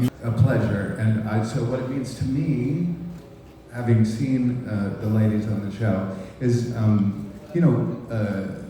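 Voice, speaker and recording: A man's voice: fairly low pitch, warm tone, a little hiss or hum. A man answering at a press conference, hesitant and full of ums and restarts. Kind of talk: panel answers at a press event. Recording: echoey hall, voice from far off.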